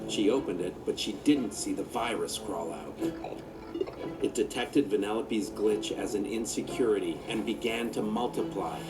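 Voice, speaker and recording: A man's voice: on the low side, moderate pace, loud music behind the voice, poor audio. A man reading a children's story aloud as its narrator.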